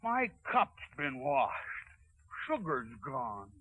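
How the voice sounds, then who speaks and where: Naive timid old dwarf, raspy, lively pitch, 1930s radio play, dull sound. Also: male voice, slow, full character voice. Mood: amazed. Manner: wide-eyed, innocent. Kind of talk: 1930s radio drama acting.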